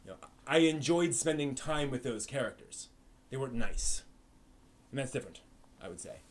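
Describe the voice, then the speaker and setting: A man talks casually on a live stream, answering the chat in a loose, rambling way. He trips over his words, restarts often and leans on fillers as he thinks aloud. His pitch sits right in the middle for a man. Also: lively intonation, moderate pace.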